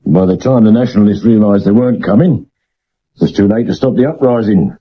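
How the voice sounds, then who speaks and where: Male actor as plain-spoken British police sergeant, low-pitched, dry and dour, dull medium-wave radio drama recording. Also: brisk, slight background noise, close to an everyday voice. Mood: dry, factual. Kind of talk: 1970s radio drama acting.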